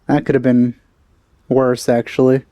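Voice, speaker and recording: A man's voice: medium pitch, flat delivery, measured pace, warm tone, no room sound. A man giving casual, understated reaction commentary as a let's player.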